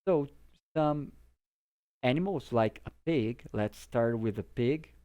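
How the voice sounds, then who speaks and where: Brazilian guy teaching english, portuguese native, takes it real slow, keeps checking you get it. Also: mid-range voice, mellow. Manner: simple explanations with frequent checking tags. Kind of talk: informal vocabulary lesson to camera.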